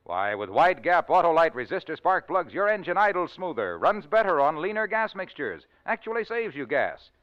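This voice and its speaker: A man's voice: on the high side, sing-song, moderate pace, few pauses. An American man reading a 1940s radio commercial with emphatic, salesman-like delivery. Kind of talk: 1940s radio advertising read. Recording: muffled old radio recording.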